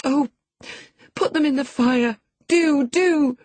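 A woman voicing a distraught young girl, pleading breathlessly in a high voice. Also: a little slow, darkish tone, husky, no echo. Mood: begging.

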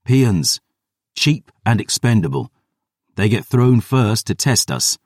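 A man doing a gruff, wrathful veteran warrior. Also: on the low side, big pitch swings, slow pace, darkish tone, dry recording, clean studio sound, pitched above the performer's own voice, exaggerated voice. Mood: lecturing. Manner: gruff, snapping.

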